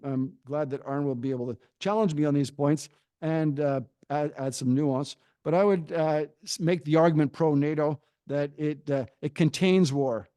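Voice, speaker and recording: A middle-aged man, a professor, giving a prepared argument at a panel in a measured way, pausing often with fillers. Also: fairly high pitch, mellow, no room sound, clean studio sound. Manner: measured, pauses with frequent fillers.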